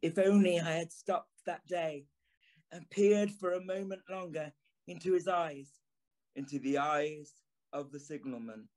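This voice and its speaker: High-voiced, haunted journalist, acted by a man. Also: a little mellow, close to an everyday voice, low-quality recording. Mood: hushed, uneasy recollection. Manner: brooding, deliberate, theatrical phrasing. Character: haunted, remorseful journalist recalling an eerie encounter. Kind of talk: dramatic first-person audio play narration.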